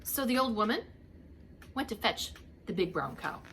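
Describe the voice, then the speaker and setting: A woman's voice: medium pitch, very animated, normal speed, gravelly, a bit bright, slight background noise. A woman reading a story aloud to young children like a preschool teacher, theatrically drawing out the action words and talking to her listeners.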